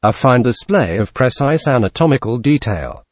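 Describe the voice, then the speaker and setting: Man, medium pitch, scripted academic read-aloud, dry sound without room echo. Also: big pitch swings, moderate pace. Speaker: test-practice narrator reading passages.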